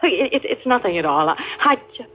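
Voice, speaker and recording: A woman's voice: medium pitch, big pitch swings, fairly quick, a bit bright. An actress playing a nervous, evasive mother hiding her dread, with a hesitant, faltering delivery, in a 1950s radio drama. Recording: dull, lo-fi vintage radio recording.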